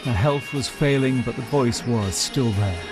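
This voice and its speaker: A man's voice: mid-range voice, unhurried. A British man narrating a scripted television news report as its reporter. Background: music.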